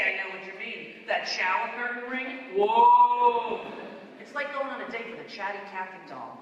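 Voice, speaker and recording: A woman's voice: fairly high pitch, a bit bright, close to an everyday voice. A woman at a staged script reading playing a fed-up traveller in a biting, sarcastic rant. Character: fed-up, scathing traveller ranting at a tiresome talker. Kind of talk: staged film-script table read. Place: large hall at a live festival event. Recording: echoey hall from far off, noisy.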